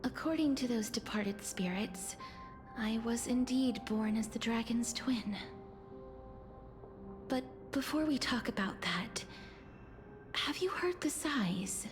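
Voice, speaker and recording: An actress playing a gentle, solemn young woman in a video game, speaking in a soft, breathy, measured and formal way. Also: fairly high pitch. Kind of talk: video game story dialogue. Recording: background music under the voice. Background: game music.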